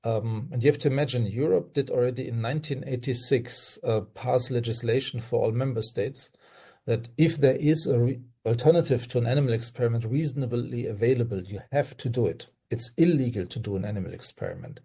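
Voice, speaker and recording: A man's voice: mid-range voice, expressive, brisk, dark tone, slightly rough. An older man with a German accent, a guest on a video-call webinar, speaking earnestly with hesitant fillers and restarts. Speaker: guest toxicologist.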